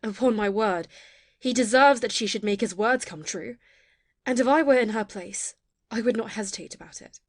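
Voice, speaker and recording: A woman's voice: fairly high pitch, moderate pace, dry recording, clean studio sound. A woman playing a cheeky, meddling maidservant, saucy and insistent, in a classic comedy audio play. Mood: saucy, conspiring.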